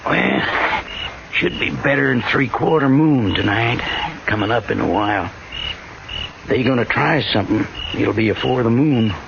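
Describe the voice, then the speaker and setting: An actor playing a wounded, folksy Old West frontiersman with a slow western drawl and rough frontier slang, in a 1950s radio drama. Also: medium pitch. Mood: wary, drawling. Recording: hissy, muffled old radio broadcast.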